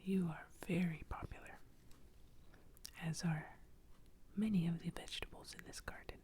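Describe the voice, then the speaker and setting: A woman's voice: on the low side, fairly even intonation, normal speed, close to an everyday voice. A woman whispering the part of a kindly, motherly asparagus in a made-up vegetable story. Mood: reassuring. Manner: whispered, reassuring.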